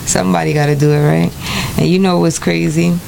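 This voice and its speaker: A woman radio host talks in a low, gravelly voice. Her chat is loose and rambling, full of fillers and restarts. A steady hiss of noise sits under her voice. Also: moderate pace. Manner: laid-back, rambling, lots of fillers and restarts. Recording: noisy radio studio recording.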